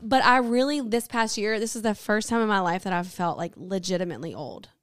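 A woman in her thirties co-hosting a podcast, talking in an animated way and aware that she can be dramatic. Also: medium pitch, fairly quick, dry recording, studio quality. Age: 30s. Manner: animated, self-aware about being dramatic. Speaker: podcast co-host. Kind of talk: casual chat.

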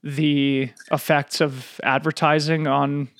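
A man hosting a podcast, asking questions in smooth, measured full sentences. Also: a little high, little pitch movement, a bit bright, no echo, clean studio sound. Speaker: podcast host. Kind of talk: podcast interviewing.

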